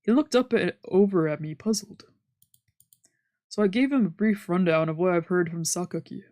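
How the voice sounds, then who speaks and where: A woman's voice: on the low side, moderate pace, mellow, dry recording, clean studio sound. A woman reading visual novel narration aloud as a let's player. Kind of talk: scripted narration, read aloud.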